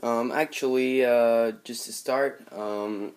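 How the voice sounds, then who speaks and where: A man's voice: medium pitch, steady tone, takes it slowly, a little mellow, slight background noise. A man from Montreal with a Quebec French accent, hesitantly showing off his model airplane collection in a casual vlog, full of ums and uhs. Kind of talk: casual show-and-tell vlog. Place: bedroom with model airport table, indoors.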